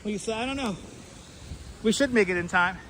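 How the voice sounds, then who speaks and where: A man's voice: sits high, normal speed, a bit bright, a little hiss or hum, low-quality recording. A man hosting a live street stream outdoors at night, chatting casually and answering viewers by name, on a clip-on mic. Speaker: livestream host. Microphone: wireless clip-on mic.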